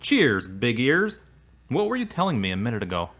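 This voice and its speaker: A man playing a devout, easily wounded boyfriend in an audio drama, earnest and expressive. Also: mid-range voice, moderate pace, exaggerated voice. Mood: caring, mild. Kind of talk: acted audio drama dialogue.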